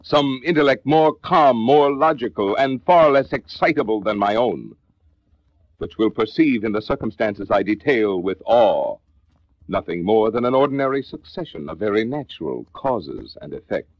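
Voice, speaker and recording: An actor playing a brooding male narrator confessing a Gothic horror tale, in a theatrical, deliberate 1950s dramatic reading with formal diction, on a vintage record. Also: fairly low pitch, expressive, no echo. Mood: grave, reflective. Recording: dull vintage 1950s spoken-word record.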